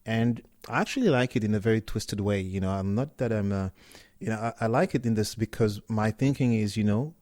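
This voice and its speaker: A middle-aged man from Cameroon, a startup co-founder talking casually as an interview guest, relaxed and often restarting his words. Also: lowish voice, a little fast, dry recording, clean studio sound.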